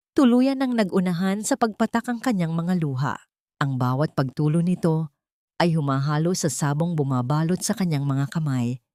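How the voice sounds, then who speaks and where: A woman narrating a dramatic scripted story in a measured, solemn, melodramatic way. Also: sits low, darkish tone, no echo, studio quality.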